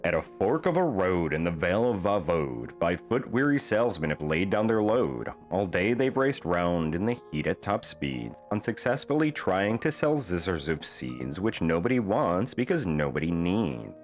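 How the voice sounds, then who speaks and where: A man reading a rhyming children's bedtime story aloud in a playful, theatrical manner with deliberate phrasing. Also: sits low, sing-song, faint music behind. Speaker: storybook reader.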